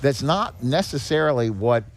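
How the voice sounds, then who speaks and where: A man's voice: medium pitch, expressive, moderate pace. A man speaking as a podcast guest, explaining things in a conversational way with rhetorical questions and frequent check-ins. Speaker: guest, executive director of a seniors nonprofit.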